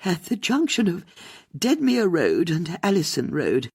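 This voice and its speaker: A man's voice: high voice, wildly swooping pitch, unhurried, vocal fry, a little mellow, breathy edge, no room sound, studio quality, pitched above the performer's own voice, full character voice. A man reading aloud, voicing a flustered elderly woman who is out of breath. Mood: breathless, upset. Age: older. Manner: flustered, fretful.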